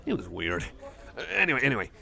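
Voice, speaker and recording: A man's voice: mid-range voice, big pitch swings, fast talker, vocal fry, pushed and bright, heavily acted. A man playing a friendly, nerdy cyborg card enthusiast in a casual ASMR roleplay, rambling hesitantly and apologetically. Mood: geeky, enthusiastic. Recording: cafe crowd chatter behind. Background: busy cafe chatter.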